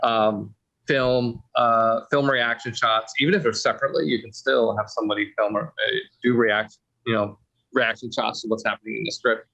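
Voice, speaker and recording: A man directing an audio drama talks his cast through notes with a bright, forceful edge to his voice. He stops and restarts often and leans on fillers as he goes. The audio is dull and lo-fi. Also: lowish voice, steady tone, gravelly. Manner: halting, restarts, many fillers. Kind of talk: casual feedback discussion.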